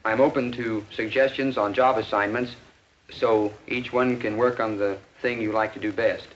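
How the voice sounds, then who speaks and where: An actor playing a modest, earnest male high school student in a 1950s educational film, speaking plainly and politely with some hesitancy. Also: steady tone, moderate pace, close to an everyday voice. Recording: dull, noisy 1950s film soundtrack.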